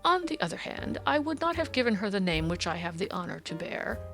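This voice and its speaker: Audiobook role: haughty aristocratic gentleman, prematurely aged, stately and wordy, animated pitch female narrator acting him out. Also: brisk, creaky, music in the background. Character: pompous, petulant, foppish aristocratic English gentleman, prematurely aged. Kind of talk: audiobook character dialogue.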